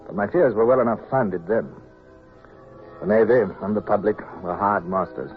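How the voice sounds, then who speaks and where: An actor playing a weary, proud British naval captain, narrating his past in a measured, grave English manner for radio drama. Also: fairly low pitch, close to an everyday voice. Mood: grave, reflective. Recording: dull old radio recording, faint music.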